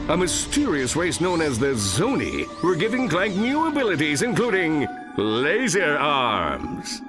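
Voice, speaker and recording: An actor playing a pompous, dim-witted, boastful space superhero in a cartoonish video game, bombastic and goofy. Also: male voice, fairly high pitch, huge pitch swings, measured pace, over music. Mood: boastful storytelling. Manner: bombastic, self-important, goofy.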